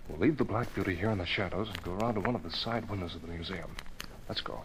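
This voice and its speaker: A man's voice: sits low, fast, lightly acted. An actor playing a decisive, commanding male vigilante hero in an old-time radio drama, giving purposeful orders. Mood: cautious, purposeful. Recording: noisy vintage radio recording.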